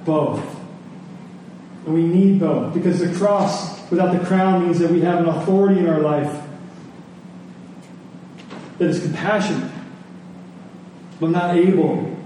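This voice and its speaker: A man's voice: on the high side, dark tone. Earnest male preacher in an echoey hall. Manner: earnest, rhetorical questions, deliberate pauses. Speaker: pastor preaching. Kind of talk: sermon closing in prayer. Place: large echoey room before a congregation. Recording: echoey hall, distant mic, faint noise.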